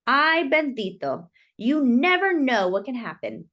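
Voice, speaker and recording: Lady doing a kids read-aloud, highish voice that swoops up and down, really leans on the key words. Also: big pitch swings, a bit bright. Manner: dramatic, deliberate phrasing with drawn-out emphasis. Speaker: storyteller reading a picture book aloud. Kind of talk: expressive children's read-aloud narration.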